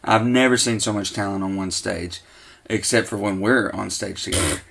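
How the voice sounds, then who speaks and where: Gravelly, bright-voiced Southern man. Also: fairly low pitch, moderate pace. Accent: Southern American. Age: 30s. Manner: upbeat, joking, laughs often. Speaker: podcast co-host. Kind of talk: casual chat. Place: home man cave.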